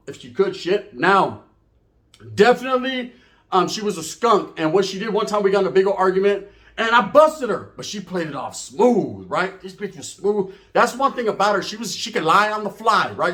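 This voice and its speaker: A man's voice: sits high, expressive, normal speed, a bit bright. A middle-aged man tells a comedic story informally, profane and boastful, wandering off and checking in with tag questions.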